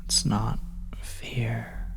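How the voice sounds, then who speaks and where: A narrator speaking in a soft, breathy whisper, delivering second-person horror ASMR in a slow, hushed, ominous way. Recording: noisy oppressive ambience behind the whisper. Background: dark ambient soundscape.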